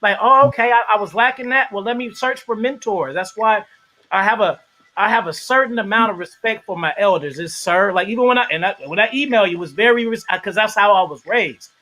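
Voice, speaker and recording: A man hosting a casual livestream interview, animated and shouting out viewers in the chat. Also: high-pitched, brisk, poor audio.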